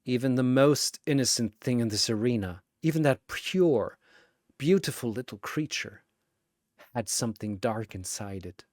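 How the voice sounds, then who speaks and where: A man voicing a frightened, weary young man, speaking quietly and breathily in a dramatic story. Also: mid-range voice, expressive, moderate pace, dry recording, clean studio sound, close to an everyday voice.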